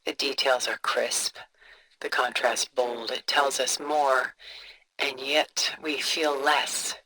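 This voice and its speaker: An actress playing a refined, cultured, coolly composed older woman in a video game, speaking in a poised, deliberate, articulate way. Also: rumbling low, level tone, pushed and bright, slight background noise, heavily acted, rough recording. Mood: reflective, composed. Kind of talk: scripted video game dialogue, art commentary.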